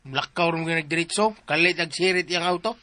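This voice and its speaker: A bumbling male household helper with a Filipino accent, high and sharp in voice. He is chatty, teasing and deferential in a comic radio-play way, recorded close and dry. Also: moderate pace, no room sound. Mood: deferential, joking. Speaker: radio drama actor. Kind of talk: comic radio drama acting.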